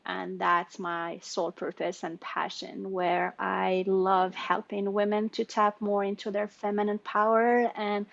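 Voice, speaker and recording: Woman, 40s, from Morocco, coach type; effusive and animated, dry muffled call audio. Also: mid-range voice, dry recording. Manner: animated and effusive, laughing between phrases. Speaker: business owner and women's leadership coach. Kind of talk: personal introduction in an online circle. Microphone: video call.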